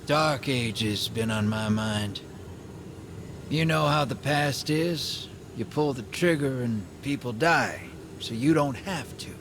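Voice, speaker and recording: An actor playing a sly, world-weary gunslinger rogue in a video game, with folksy, drawling slang. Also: male voice, medium pitch, background noise. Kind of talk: video game character dialogue.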